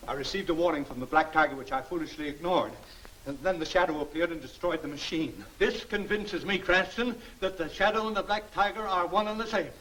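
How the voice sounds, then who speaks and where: Solemn higher-voiced businessman, played by a man. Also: brisk, fairly bright tone, close to an everyday voice. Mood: solemn, convinced. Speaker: actor in a film serial. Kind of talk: 1940s film serial acting. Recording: noisy 1940 film soundtrack.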